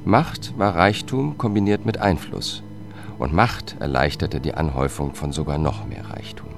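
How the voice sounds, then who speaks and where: An actor playing a sober, determined justice investigator who narrates a radio drama in a measured, matter-of-fact way. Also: male voice, a bass voice, creaky, music in the background. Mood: sober narrating. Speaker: first-person narrator.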